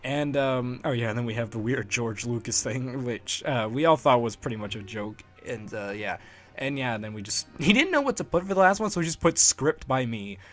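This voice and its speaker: Casual, halting man giving commentary. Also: medium pitch, animated, faint music behind. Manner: rambling, halting, chuckles often. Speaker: fan dub creator, commentator. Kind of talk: casual unscripted solo commentary.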